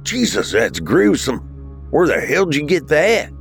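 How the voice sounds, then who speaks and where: A man's voice: low voice, big pitch swings, moderate pace, soft background music. Man playing a slangy, exasperated teenage brother. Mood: amused disbelief. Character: casual, skeptical older teenage brother.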